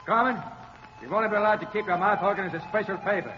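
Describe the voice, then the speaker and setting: A man's voice: high-pitched, quick pace, big-room sound, music in the background, lightly acted. A male actor playing a stern, bossy prison guard with a commanding manner in a 1930s radio drama. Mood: stern, warning. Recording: dull 1930s radio, loud crowd behind.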